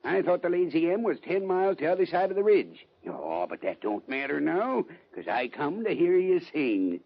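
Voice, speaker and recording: An actor playing a folksy, wily old cowboy sidekick in a western radio drama, drawling and teasing in folksy cowboy talk. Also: male voice, a little high, very animated, moderate pace, creaky, slightly rough, exaggerated voice. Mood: mocking, menacing. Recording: muffled, crackly old-time radio recording.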